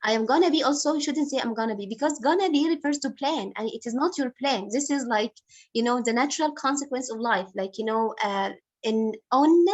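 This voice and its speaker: A woman's voice: sits high, a little mellow, lo-fi sound. A woman teaching English on a video call, patiently correcting a student's writing and calling him honey. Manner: patient, explains slowly, repeats corrections, calls student honey. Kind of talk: online class correcting a student.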